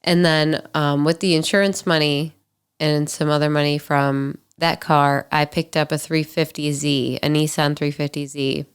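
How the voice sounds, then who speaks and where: A woman's voice: sits low, little pitch movement, measured pace, clear, resonant tone. A woman in her twenties hosting a podcast, telling stories in a candid, chatty way. She sounds a little nasal and stuffy from allergies. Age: 20s. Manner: candid, chatty, frequent ums and likes. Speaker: podcast host. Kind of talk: casual solo storytelling.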